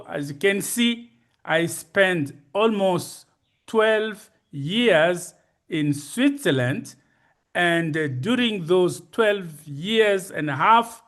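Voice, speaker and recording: Slow, high-pitched elderly man presenting. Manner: halting, deliberate, formal and courteous. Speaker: conference speaker, retired ophthalmologist. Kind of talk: personal presentation to a conference.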